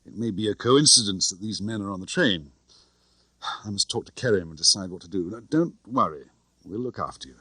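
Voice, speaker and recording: Man playing cool male secret agent, protective, clipped, controlled, low pitch, audiobook. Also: animated, a little fast, bright, forceful tone, husky, dry recording, exaggerated voice. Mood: cautious, reassuring.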